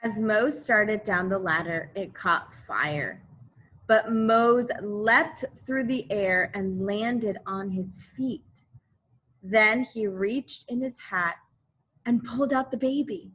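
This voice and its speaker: A woman teacher reading a children's storybook aloud expressively and deliberately, pausing to explain things to young listeners. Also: mid-range voice, fairly bright tone, slightly rough, rough recording. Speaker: elementary school teacher.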